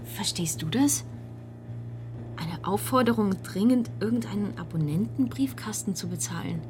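Actress as a puzzled wife, medium pitch. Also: female voice, expressive, normal speed, a little mellow, faint music behind, close to an everyday voice. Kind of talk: radio drama dialogue.

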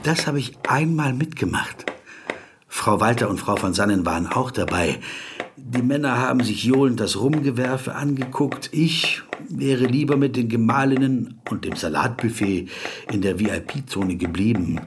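A man's voice: mid-range voice, moderate pace, background noise, lightly acted. A man acting a pedantic, brooding, awkward corporate lawyer in a German radio drama, fussy and dryly ironic in his digressions. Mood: dryly wistful. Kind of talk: German radio drama, dialogue and inner monologue.

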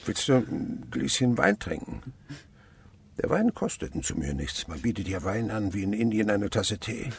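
An actor playing a gruff, sly, miserly older husband and uncle in a radio drama, grumbling and sarcastic. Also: male voice, fairly low pitch, sing-song, moderate pace, heavily acted. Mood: feigned kindness. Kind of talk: German radio drama acting.

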